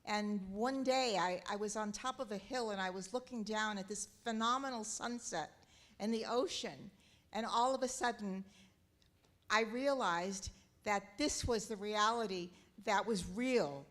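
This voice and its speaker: A woman from Southern California with a loud voice, sharing a personal reflection from the audience at a church talk, earnest and halting as she searches for words. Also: mid-range voice. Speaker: audience member. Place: church auditorium.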